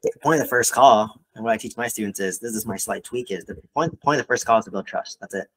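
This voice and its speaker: A man's voice: lowish voice, quick pace, a bit bright, breathy edge, poor audio. A man working as a mentor, talking casually in a one-on-one coaching call and often checking in with "right?". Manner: conversational, peppers talk with "like" and "right?". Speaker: real estate mentor and coach.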